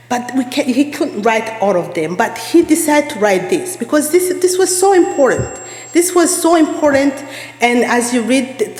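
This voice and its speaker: A woman's voice: mid-range voice, a little mellow. Woman preaching in an echoey church. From Rwanda. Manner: earnest, repeats phrases for emphasis. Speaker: guest speaker. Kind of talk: sermon. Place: church sanctuary.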